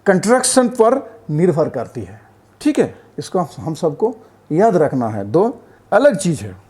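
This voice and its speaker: High-pitched, animated man explaining step by step. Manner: repetitive, checks in with frequent tag phrases. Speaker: tutorial presenter. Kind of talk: explanatory electronics tutorial.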